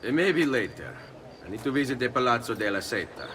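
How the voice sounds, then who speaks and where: A man's voice: mid-range voice, very animated, fairly quick, sharp tone, a little hiss or hum. An actor voicing a charming young nobleman assassin with a dry, teasing wit. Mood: casual. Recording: game cutscene with music underneath.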